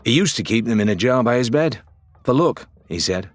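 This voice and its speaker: A man voicing a cheerful pirate quartermaster in an audiobook, chatty and nonchalant with deadpan humour. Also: medium pitch, a little fast, dry recording, voice pushed up, close to an everyday voice. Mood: deadpan joking.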